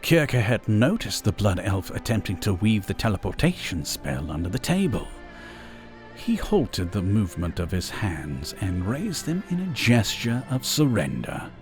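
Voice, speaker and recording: A man's voice: lowish voice, very animated, normal speed, soft background music. A man narrating an audio drama in a scripted, dramatic storytelling style.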